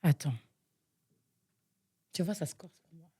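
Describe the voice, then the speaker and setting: A woman's voice: unhurried, mellow. A young woman from Nigeria co-hosting a podcast, chatting through a guessing game, thinking aloud with lots of fillers and getting exasperated. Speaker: podcast co-host. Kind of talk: casual chat, guessing game.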